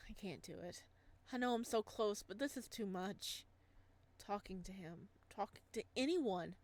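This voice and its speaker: A woman's voice: medium pitch, animated, unhurried, darkish tone, natural voice. Woman reading as an anxious young male ghost. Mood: anxious, subdued. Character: anxious, lonely young male ghost narrating his story. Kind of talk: visual novel read-aloud, first-person narration.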